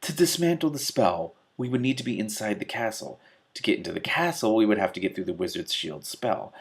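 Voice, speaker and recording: A man's voice: on the low side, expressive, brisk, heavily acted. A man voicing a male magician in a fantasy story, pedantic, testy and technically precise. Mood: precise, doubtful. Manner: technical, precise, crisply argumentative.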